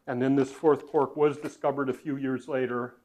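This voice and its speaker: A man giving a university physics lecture, thinking aloud as he writes, with fillers, restarts and swings in loudness. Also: mid-range voice, level tone, normal speed, poor audio. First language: not Dutch.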